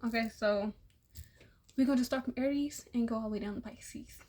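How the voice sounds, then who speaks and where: A young woman with a slightly high voice gives a relaxed tarot reading. She is losing her voice and sounds a little worn. She talks in a loose, repetitive way and sometimes drops to a soft murmur. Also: a little fast, slight background noise. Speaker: tarot reader. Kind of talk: casual tarot reading for all zodiac signs.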